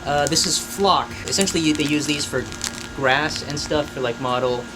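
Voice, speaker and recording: A man's voice: a little high, normal speed, noisy background. A man hosting a hobby craft video, chatting informally and explaining things in a self-deprecating way. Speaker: host of a craft and painting video. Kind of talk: casual chat, explaining a hobby project.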